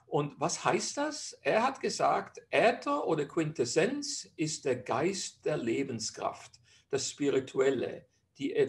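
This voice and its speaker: A man from Switzerland with a Swiss German accent, a physician presenting a webinar lecture over slides in a chatty way with frequent hesitations. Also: a little high.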